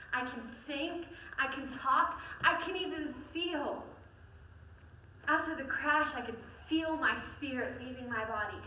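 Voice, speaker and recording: Woman as frightened dead teenage girl, dramatic monologue, pleading, high-pitched, distant echoey recording. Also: pushed and bright, a little hiss or hum. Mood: dazed, somber. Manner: dramatic, halting, pleading delivery. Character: frightened, accusing dead high school girl writing from hell. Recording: echoey room, far mic, dull lo-fi.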